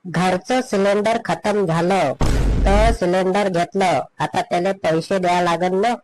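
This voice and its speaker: A shameless, meddling neighbour man from a comedy cartoon, acted by a man, very high for a male voice. He pesters in Vidarbha Marathi and takes offence easily. The recording carries some noise. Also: steady tone, unhurried, a little mellow, low-quality recording. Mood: pestering.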